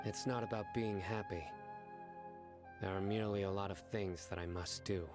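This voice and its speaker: A woman's voice: very deep voice, steady tone, normal speed, music in the background. A woman playing a stoic, duty-bound young general in a video game, terse, solemn and reserved. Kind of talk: video game character dialogue.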